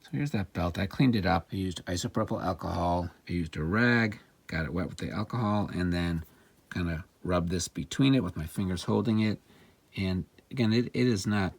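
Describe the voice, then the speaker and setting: Low-voiced man narrating a hands-on demo. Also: moderate pace, gravelly, husky, no room sound. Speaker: repair demonstrator and narrator. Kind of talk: casual hands-on demonstration narration.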